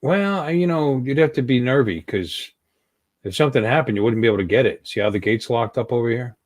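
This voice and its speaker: A middle-aged man chatting as a livestream host, with a very lively, swooping delivery. He rambles playfully and jokes around casually, and the sound is close and dry. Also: medium pitch, fairly quick, no echo. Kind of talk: casual joking livestream chat.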